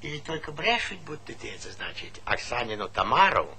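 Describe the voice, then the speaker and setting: A garrulous old countryman in a Soviet stage comedy. His voice is bright, forceful and very lively in pitch as he needles and pontificates. The old theatre recording carries faint noise. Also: fairly quick. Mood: teasing. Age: older. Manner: garrulous, folksy, needling, chuckling interjections. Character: talkative, teasing old village pensioner, needling and opinionated. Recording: noisy 1970s theatre recording.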